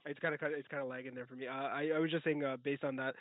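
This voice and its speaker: A man co-hosting a casual film chat, rambling and halting as he repeats his words. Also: mid-range voice, level tone, no echo. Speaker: podcast co-host. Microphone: remote online call. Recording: phone-like, dry, lagging call audio.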